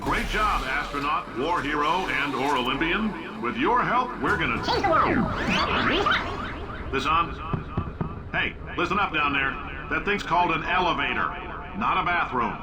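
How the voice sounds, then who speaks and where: A man playing a brash, boastful male company boss who talks in loud, blunt salesman bluster over recorded announcements. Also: on the high side, big pitch swings, a little slow, long pauses, loud music behind the voice. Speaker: game character. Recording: old announcement recording with audible noise.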